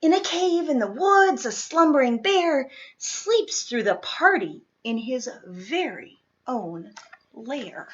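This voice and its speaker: A woman's voice: high-pitched, sing-song, slow pace, muffled recording. A woman reading a children's picture book aloud expressively, dropping to whispers at times. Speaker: storybook reader.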